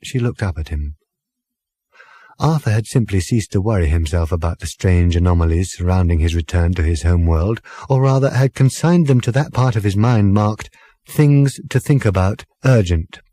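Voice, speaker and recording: A man narrating a comic novel as an audiobook in an expressive, wry storytelling manner. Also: low-pitched, normal speed, dry recording.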